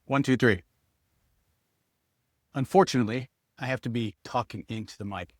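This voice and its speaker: A man's voice: medium pitch, normal speed, no echo, clean studio sound. Casual man reviewing gear. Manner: informal, thinking aloud while demonstrating. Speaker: product reviewer. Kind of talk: casual hands-on product review, speaking to viewers.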